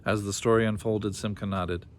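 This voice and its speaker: A man reading a children's story aloud as an expressive storyteller. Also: lowish voice, moderate pace, slight background noise.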